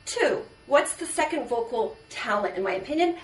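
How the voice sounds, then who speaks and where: A woman voice teacher explaining points one by one in a measured instructional video. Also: on the high side, expressive, a little hiss or hum. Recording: echoey room, distant mic.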